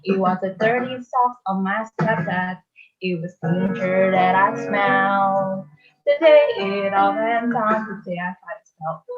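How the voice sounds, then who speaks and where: A woman's voice: medium pitch, a bit bright. A woman playing a reluctant, exasperated young demigod in a musical table read, urgent and confessional, on a dull lo-fi recording with music. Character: reluctant, exasperated young demigod schoolkid telling their story. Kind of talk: musical theatre singing and narration. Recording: dull lo-fi audio under music.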